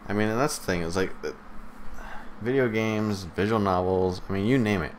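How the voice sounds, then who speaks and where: A man with a deep, low voice co-hosts a casual podcast. He states opinions bluntly and with emphasis, over faint background noise. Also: normal speed. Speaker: podcast co-host. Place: live multistreamed podcast.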